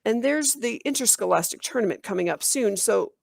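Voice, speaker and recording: A woman's voice: fairly high pitch, animated, brisk, gravelly, no echo, clean studio sound, pitched above the performer's own voice, full character voice. A woman voicing an earnest, persuasive male middle-school math teacher in a storybook reading. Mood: coaxing.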